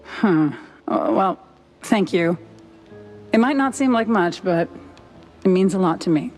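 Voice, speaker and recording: A woman's voice: on the low side, expressive, measured pace, darkish tone. A woman voicing a cool, guarded mouse lady in a noir video game, restrained and dry in her delivery. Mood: quietly sad. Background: music.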